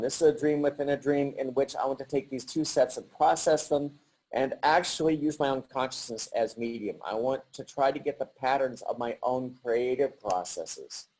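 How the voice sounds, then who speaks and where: A middle-aged man giving a halting virtual artist talk over a video call, with many pauses and restarts. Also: medium pitch, warm tone, low-quality recording. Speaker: artist presenting his work.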